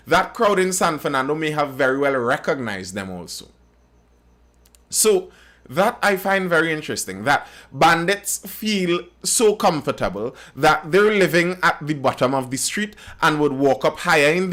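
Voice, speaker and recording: A man's voice: a little high, a bit bright. A Trinidadian man hosting a live stream, commenting on news and reading viewer comments, often repeating phrases as he thinks aloud. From Trinidad and Tobago.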